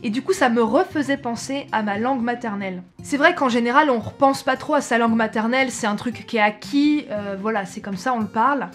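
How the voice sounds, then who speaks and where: A woman's voice: a little high, a little fast, hardly any pauses, faint music behind. A young woman from France chatting casually to camera as a travel vlogger, with frequent hesitations and self-corrections.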